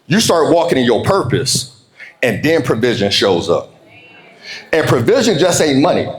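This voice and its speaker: An American man giving a motivational keynote, emphatic and folksy, slowing down to repeat key phrases. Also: mid-range voice, big pitch swings. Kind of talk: motivational keynote speech with call-and-response. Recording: roomy, echoing live speech.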